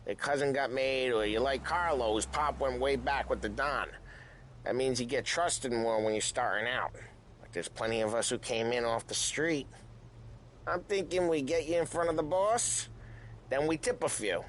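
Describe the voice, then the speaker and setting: A man's voice: mid-range voice, normal speed, a bit bright, slight background noise, natural voice. An actor playing an easygoing gangster who teases his partner in a breezy way. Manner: breezy, teasing. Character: wisecracking male gangster, easygoing and teasing.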